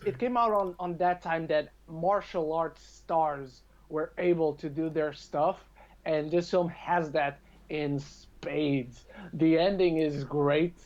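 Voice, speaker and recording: A man's voice: on the high side, darkish tone. A man speaking as a podcast guest, giving his opinions on films in casual chat. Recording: dull lo-fi call-like audio.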